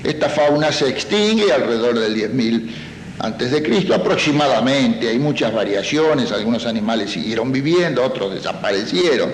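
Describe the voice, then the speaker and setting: A man's voice: medium pitch, expressive, normal speed. Male scholar explaining in an echoey room. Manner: didactic, poses rhetorical questions. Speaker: expert interviewee. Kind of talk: explanatory academic interview talk. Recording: echoey room, faint background noise.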